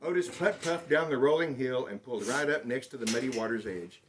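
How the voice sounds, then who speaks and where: A man reading a children's picture book aloud in an expressive, dramatic storytelling manner. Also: mid-range voice, a little fast, background noise. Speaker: storybook reader.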